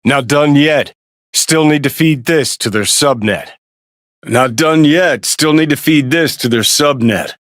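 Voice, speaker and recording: A slow-drawling, cynical rebel rocker. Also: male voice, a little high, fairly bright tone. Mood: cocky, determined. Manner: sardonic, profane, taunting drawl. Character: cynical, brash, rebellious rocker terrorist, adult man. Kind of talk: video game voice acting.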